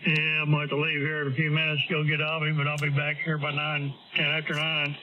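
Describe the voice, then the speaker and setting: Guy w/ kinda high voice chatting on ham radio, muffled signal, noisy. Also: completely flat delivery, quick pace. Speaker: amateur radio operator. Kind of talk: casual radio chat. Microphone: amateur radio transmission. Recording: dull amateur radio signal, clear static noise.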